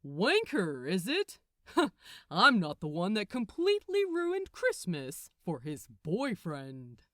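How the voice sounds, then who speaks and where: A woman's voice: mid-range voice, huge pitch swings, takes it slowly, fairly bright tone, no room sound, studio quality, full character voice. A woman voicing a smug, impatient male angel with a brash, sarcastic, exasperated manner in a storybook reading. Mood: smug, mocking.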